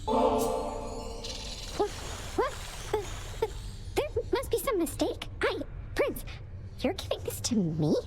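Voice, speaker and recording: A woman's voice: extremely high pitch, wildly swooping pitch, slow pace, long pauses, a little mellow, soft background music, exaggerated voice. A woman voicing a nervous, eager young servant of the underworld in a video game, stammering and earnest. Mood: startled. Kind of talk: scripted video game dialogue.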